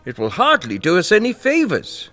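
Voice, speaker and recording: An actor playing a self-important senior politician in a historical audio drama, measured, cautious and easily piqued. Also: male voice, high-pitched, wildly swooping pitch, pushed and bright, full character voice. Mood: dry, cautious. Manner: measured, pompous, hedging. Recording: music bed behind the voice.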